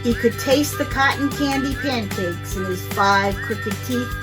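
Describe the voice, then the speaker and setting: A woman hosting a children's storytime, reading aloud in an expressive, deliberate storytelling way, with music behind her. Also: fairly high pitch. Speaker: host and story reader. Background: background music.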